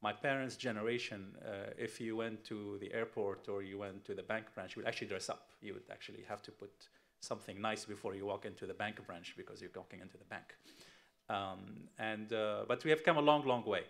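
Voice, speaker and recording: A man's voice: on the low side, steady tone, fairly quick, vocal fry, fairly bright tone. A middle-aged man from Tunisia, an investment firm chief speaking on a conference panel, emphatic, asking rhetorical questions with frequent fillers.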